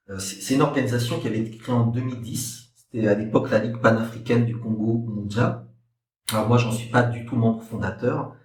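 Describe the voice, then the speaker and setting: Echoey, distant, low-voiced middle-aged man. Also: a little mellow. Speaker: historian and activist guest speaker. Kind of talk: spoken personal account at a talk. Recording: echoey room, distant microphone.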